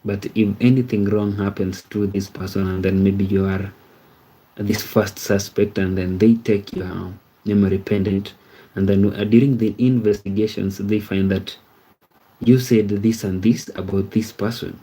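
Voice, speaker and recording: Thirty-something guy on a livestream, low mellow voice, kinda flat, rambles and keeps going "you see?". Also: measured pace, slightly rough, poor audio. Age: 30s. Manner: rambling, repeats words, often asks "you see?". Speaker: livestream host. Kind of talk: casual livestream chat reading viewer comments.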